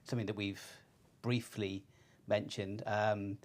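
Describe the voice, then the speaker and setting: A man presenting research findings in a semi-scripted style, measured and pausing between phrases. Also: on the low side, little pitch movement.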